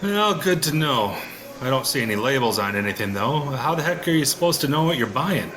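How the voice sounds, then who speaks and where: A man's voice: medium pitch, sing-song, a little fast, noisy background, close to an everyday voice. A man with an American accent playing a skeptical, sardonic ordinary husband in an audio drama, hesitant but curious, with wry colloquial delivery. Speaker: actor in an audio play. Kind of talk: audio drama acting in casual American talk.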